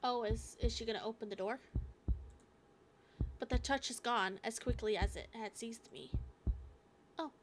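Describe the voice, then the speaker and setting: A woman reading a visual novel aloud as a gaming streamer, halting and expressive, with casual asides. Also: a little high, slight background noise. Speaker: gaming YouTuber.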